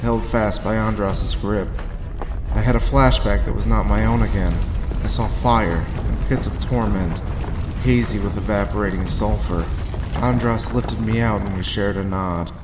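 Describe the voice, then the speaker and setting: A man playing a hard-boiled adventurer, narrating an audio drama in a measured, dramatic first-person way. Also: on the low side, fairly even intonation, music in the background, lightly acted. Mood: grim, tense. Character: weary hard-boiled male adventurer narrating his monster fight. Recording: echoey with long reverb.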